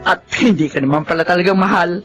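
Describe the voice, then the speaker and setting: A woman's voice: on the low side, fast talker, soft background music, close to an everyday voice. A woman with a Filipino accent playing a worried, exasperated mother who scolds emphatically in a radio drama. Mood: exasperated, resigned.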